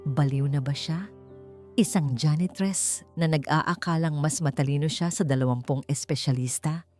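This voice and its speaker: A woman's voice: deep voice, moderate pace, darkish tone. A woman with a Filipino accent reading a dramatic story as an audiobook narrator. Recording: music bed under the voice. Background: background music.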